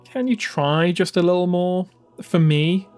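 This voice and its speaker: A man's voice: high-pitched, unhurried, darkish tone, soft background music, pitched above the performer's own voice. A man voicing a young woman, a pleading and stubborn fighter, in an emphatic dramatised audiobook style. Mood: pleading, frustrated.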